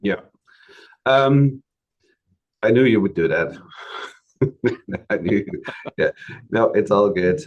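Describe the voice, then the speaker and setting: A man with a cold that leaves his voice raspy, speaking hesitantly with many fillers and restarts on a webinar about his community's experience. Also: lowish voice, lively intonation, slow, pauses often, lo-fi sound. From Canada. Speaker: municipal economic development practitioner.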